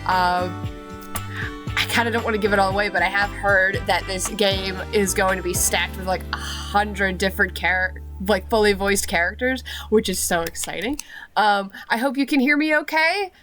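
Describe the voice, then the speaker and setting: A woman's voice: mid-range voice, moderate pace, a bit bright, soft background music. A woman with a slight cold, chatting casually while playing a video game, with lots of fillers. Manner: chatty, filler-heavy, giggly. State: has a cold. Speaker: let's play streamer. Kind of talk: casual gameplay commentary.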